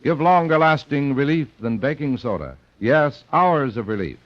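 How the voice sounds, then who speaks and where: A man's voice: medium pitch, lively intonation, moderate pace, dry recording. An American man as a polished mid-century radio announcer, reading credits and sponsor copy emphatically. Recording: muffled old radio broadcast.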